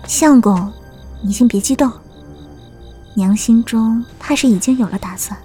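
Woman acting gentle young wife, slightly high voice. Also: measured pace, faint music behind, lightly acted. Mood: calming. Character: dutiful, affectionate young wife in ancient China. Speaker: voice actor in an amateur audio drama. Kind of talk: Chinese period audio drama acting.

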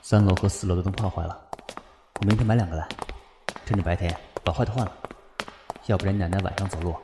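An actor playing a gentle, considerate male teacher in a radio drama. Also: low-pitched, steady tone, fast talker, very noisy. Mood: gentle, calm. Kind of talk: Chinese radio drama acting. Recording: music behind the voice.

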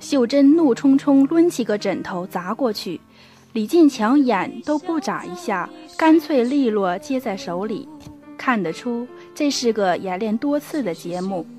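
A woman's voice: on the high side, expressive, measured pace, darkish tone, soft background music. A woman reading scripted narration for a radio drama as its storyteller.

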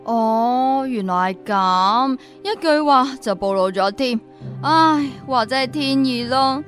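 A woman's voice: sits high, lively intonation, slow, fairly bright tone, faint music behind, full character voice. A woman with a Hong Kong Cantonese accent acting an outwitted female undercover agent, with theatrical exclamations, in a sci-fi radio drama. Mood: resigned.

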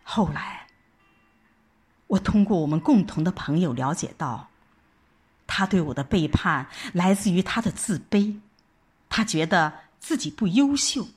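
A woman's voice: mid-range voice, breathy edge. A woman giving an emotive, dramatic first-person narration of a scripted story, like an audiobook reader. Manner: emotive, theatrical delivery.

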